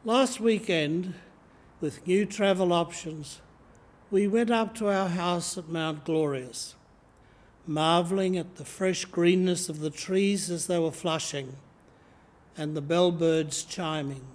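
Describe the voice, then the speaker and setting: Slow, measured older Australian priest. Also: male voice, high voice. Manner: slow, measured reading from notes. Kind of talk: sermon. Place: church sanctuary, indoors. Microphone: lectern microphone, camera in front.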